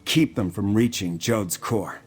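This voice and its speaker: Low-voiced, earnest military commander. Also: male voice, slightly rough. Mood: resolute, sober. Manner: measured, sober, formal. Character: earnest, weary Imperial military commander, fair-minded. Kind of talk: video game character acting.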